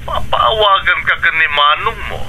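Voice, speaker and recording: An actor playing a homesick, gentle middle-aged father in a radio drama, with an Ilocano accent. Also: high voice, normal speed, background noise. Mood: earnest. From Philippines.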